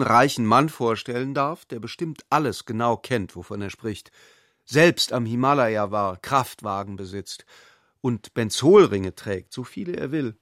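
A man's voice: mid-range voice, fairly bright tone, no room sound. An actor playing a sceptical intellectual man with dry irony in a literary radio drama.

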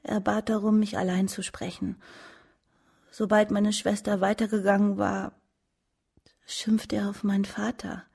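An earnest young daughter testifying in a radio drama. Her husky, creaky voice stays even and restrained, formal and a little hesitant. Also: mid-range voice, unhurried, long pauses. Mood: subdued, distressed.

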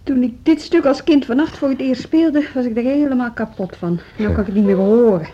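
An actress playing a weary, frustrated music teacher in an understated, naturalistic radio drama. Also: female voice, on the high side, a little fast, dark tone, lightly acted. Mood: weary, melancholy. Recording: dull radio drama recording, music behind.

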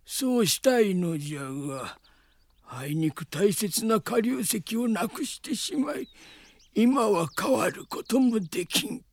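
An ancient dragon-kin in an old man's body for a radio drama. The voice is high and creaky. He speaks slowly, in an archaic, theatrical manner. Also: animated, dry recording, exaggerated voice. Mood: regretful. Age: older. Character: quirky old dragon-kin man in human form. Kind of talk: Japanese radio drama acting.

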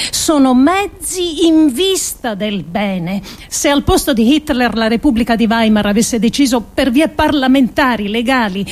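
A woman giving a dramatic scripted radio reading of an essay, solemn and deliberate, with emphatic pauses. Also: a little high, darkish tone. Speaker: performer reading an essay monologue.

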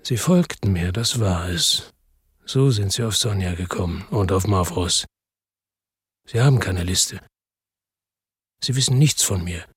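A man's voice: low voice, unhurried, long pauses, slightly rough, no echo, studio quality, full character voice. An actor playing a middle-aged, weary and bitter ex-policeman, narrating tersely in a radio drama. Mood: tense. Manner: terse, sombre, matter-of-fact. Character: weary, bitter male ex-policeman narrating his own crime story.

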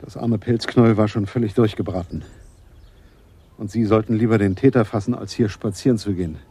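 A man's voice: fairly low pitch, fairly even intonation, brisk, mellow, husky. An actor in a German radio play as an opinionated police sergeant who explains his theories with sarcastic emphasis. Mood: confiding. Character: eager, opinionated police sergeant, man, theorizing about crime.

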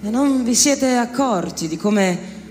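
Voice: mid-range voice, animated, slow pace, a little mellow. An actor delivering a theatrical monologue as an outraged, bitterly scornful accuser, declaiming in rhetorical questions. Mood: indignant, mocking. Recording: long hall reverb, music underneath.

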